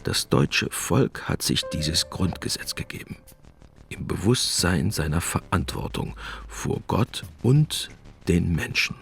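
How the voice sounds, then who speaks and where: A man's voice: deep voice, animated, takes it slowly, creaky, fairly bright tone. A man giving essayistic commentary in a dry, explanatory way.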